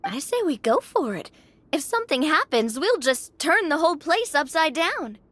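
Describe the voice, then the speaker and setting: A reckless, bold companion, as a woman voices her, high and swooping with a brash edge. The voice sounds slightly distant. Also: sing-song, normal speed, a bit bright.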